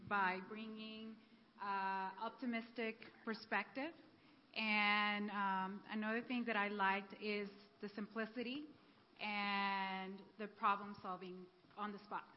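Measured, hesitant woman in a hall. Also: medium pitch, level tone, slight background noise. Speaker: church group member. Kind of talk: sharing in a group. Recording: echoey hall, dull sound.

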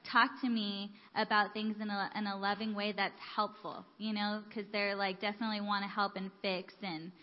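A woman's voice: medium pitch, flat delivery, moderate pace, few pauses. An enthusiastic woman sharing in a group, talking with lots of fillers. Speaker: church group member. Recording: echoey hall, dull sound.

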